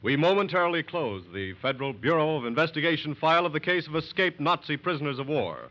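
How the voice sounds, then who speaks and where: A man's voice: fairly high pitch, fast, loud music behind the voice. A man announcing in a formal, stately 1940s radio style, reading a scripted sponsor message. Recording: muffled old radio recording.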